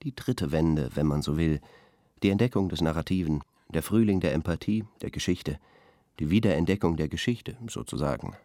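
An actor playing a pompous, ponderous man with deliberate phrasing in a conversational radio drama. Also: extremely low pitch, mellow, no room sound, clean studio sound.

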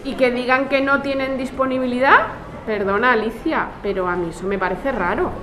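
An actress as blunt, highish-voiced Spanish woman. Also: steady tone, a little fast, fairly bright tone, close to an everyday voice, poor audio. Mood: indignant. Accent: Spanish, from Spain. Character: indignant, distrustful woman activist, blunt and colloquial. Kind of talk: naturalistic audio drama dialogue.

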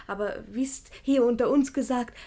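An actress playing a naive young ward in a classic radio play, speaking verse with artless, plaintive frankness. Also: female voice, fairly high pitch, normal speed, dark tone. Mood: innocently frank. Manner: innocent, plaintive, candid.

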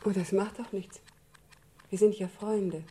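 An actress playing a polite, grieving young woman in a radio crime drama, speaking softly and wistfully. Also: medium pitch, steady tone, measured pace, husky. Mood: quiet, reserved. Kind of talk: 1970s German radio crime drama acting.

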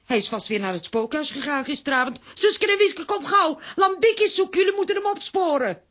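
A woman's voice: high voice, animated, brisk, fairly bright tone. A woman playing a fretful, caring, motherly character in a children's radio play. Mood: worried.

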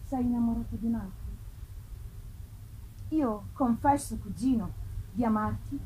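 A woman's voice: a little high, unhurried, mellow, natural voice, low-quality recording. A woman voicing a loving, anxious young woman in a tender, hesitant, pleading dramatic reading of a letter. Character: loving, anxious young woman writing to her betrothed cousin. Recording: live festival recording with background noise.

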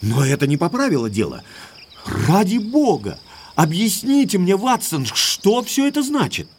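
An actor playing an indignant, lovestruck young English baronet in an audio drama, speaking emphatically like an exasperated gentleman. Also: high voice, huge pitch swings, normal speed, slight background noise.

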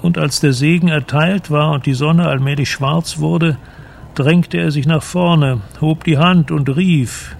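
An actor as a grave storyteller, delivering scripted literary narration for a radio drama. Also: male voice, on the high side, steady tone, unhurried, vocal fry, a little hiss or hum.

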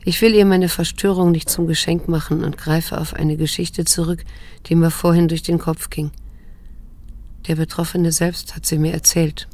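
An actress playing a troubled, restrained adult daughter in a radio drama, speaking in a controlled, grave and insistent way. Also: female voice, low-pitched, little pitch movement, normal speed, darkish tone. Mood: uneasy, introspective. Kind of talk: radio drama acting with introspective narration.

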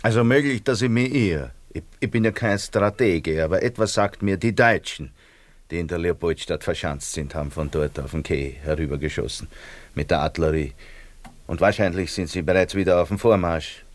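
An actor playing a sceptical, anxious barbershop owner with a Viennese accent, blunt and opinionated, in a radio play. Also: male voice, low voice, normal speed, no room sound, lightly acted. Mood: speculating. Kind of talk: German-language radio play acting.

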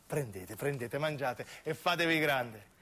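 A man's voice: mid-range voice, expressive, a little slow, sharp tone. A man plays an old southern Italian woman in radio theatre. She is vain and nostalgic, with a motherly streak. She tells her stories in a folksy, boastful way. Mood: motherly, insistent.

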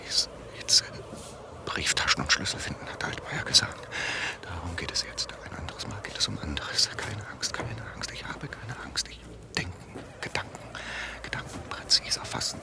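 An actor in a German radio drama playing an anxious, brooding accomplice who is badly shaken and uneasy. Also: male voice, deep voice, lively intonation, moderate pace. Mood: whispering dread. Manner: brooding, uneasy, often breathy and whispering. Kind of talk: German radio drama acting, with inner monologue.

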